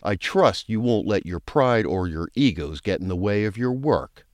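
A man's voice: on the low side, lively intonation, moderate pace, dry recording, close to an everyday voice. A man playing a brusque, foul-mouthed, sarcastic police chief in a crime audio drama. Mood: stern. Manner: brusque, commanding, crude briefing delivery.

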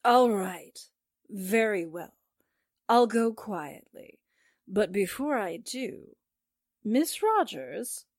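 A woman's voice: mid-range voice, sing-song, very slow, long pauses, dry recording, clean studio sound, exaggerated voice. A woman playing an unhinged, vengeful married murderess in a theatrical, volatile 1940s-style radio drama. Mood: controlled, resigned.

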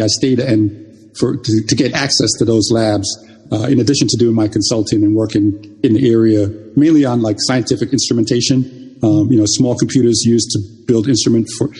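A man's voice: medium pitch, a little mellow, husky, big-room sound. An older man from Jamaica, a native Jamaican speaker, recalling his career in an interview with many restarts and repeated words. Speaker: guest. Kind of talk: reminiscing interview talk.

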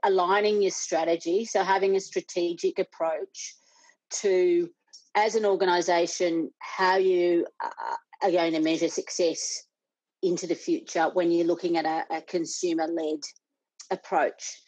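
A woman's voice: fairly low pitch, fairly even intonation, measured pace, darkish tone, no echo, low-quality recording. A middle-aged Australian woman, a parent and board member, speaking earnestly in an interview with many restarts. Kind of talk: conversational interview.

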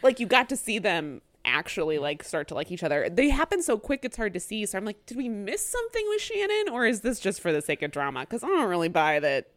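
A woman's voice: mid-range voice, big pitch swings, fast talker, hardly any pauses, no echo. A young woman co-hosting a podcast, chatting casually and animatedly, swearing freely and acting out what people said. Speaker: podcast co-host. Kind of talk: casual chat recapping a reality show.

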